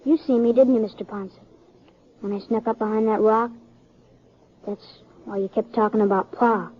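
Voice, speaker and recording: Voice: medium pitch, steady tone, moderate pace, natural voice. A young performer playing an earnest, polite country boy in a 1950s radio western, speaking hesitantly. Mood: plain, polite. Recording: muffled old radio recording.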